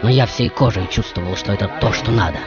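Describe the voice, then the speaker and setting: A man playing a passionate, self-assured tango musician who tells his life story in dramatic, deliberate phrases. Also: fairly low pitch, natural voice. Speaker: radio show host. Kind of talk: theatrical first-person storytelling as a famous musician. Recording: dull radio recording with music.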